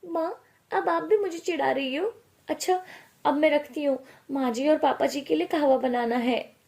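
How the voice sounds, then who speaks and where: A woman's voice: high-pitched, moderate pace, a little mellow, voice pushed up. A woman voicing an eager, pampered young bride in a story, pleading and complaining. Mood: weary complaint. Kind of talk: acted storytelling dialogue.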